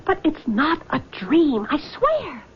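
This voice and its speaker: A woman's voice: high-pitched, wildly swooping pitch, slow pace, full character voice. An actress playing a distraught, guilt-ridden young woman in a radio drama, plaintive, pleading and tremulous. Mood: haunted, pleading. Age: young adult. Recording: muffled old radio broadcast.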